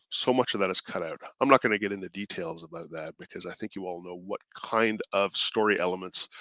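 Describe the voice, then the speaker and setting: A man with a stuffed-up cold voice, hosting his own channel in casual, halting commentary, mild-mannered and thinking aloud. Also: low-pitched, bright, forceful tone, no echo. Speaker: indie creator and channel host.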